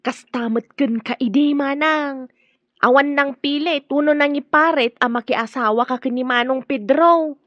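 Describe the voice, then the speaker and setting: A woman's voice: sits high, unhurried, fairly bright tone, no echo, highs cut off. A woman playing a chatty, frank friend who teases and argues back in an Ilocano radio drama. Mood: frank, teasing.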